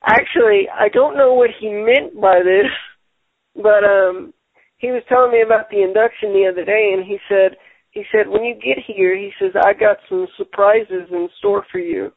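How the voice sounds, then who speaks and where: A man hosting a casual radio show, talking haltingly and repeating words, with many fillers. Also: high-pitched, vocal fry, dry recording. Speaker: show host. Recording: tinny phone-line sound.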